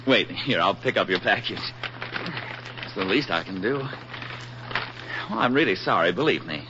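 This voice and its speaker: An actor playing an affable, eager young army private on furlough in a folksy, talkative 1940s radio drama style. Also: male voice, low-pitched, lively intonation, moderate pace. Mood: apologetic. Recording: muffled, noisy old 1940s radio recording.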